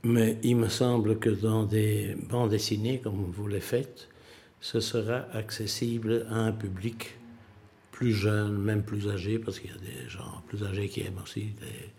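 Slow, gravelly older man, halting. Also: fairly low pitch, level tone, darkish tone, a little hiss or hum. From Belgium. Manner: halting, many fillers and restarts. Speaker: museum president, witness. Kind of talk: interview answers.

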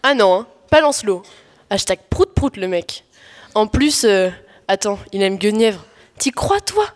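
A woman's voice: medium pitch, sing-song, measured pace. A young woman playing a bored, melodramatic wife who whines to her best friend in slangy comic audio fiction, with an exasperated drawl. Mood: dismissive. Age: teenager or young adult. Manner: whiny, exasperated, drawling complaints. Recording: echoey hall, live stage performance.

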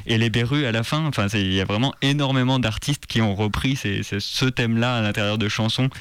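A man's voice: mid-range voice, fairly quick, smooth, no echo. A man with a French accent presenting as a contributor on a radio show. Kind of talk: scripted narration. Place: radio studio.

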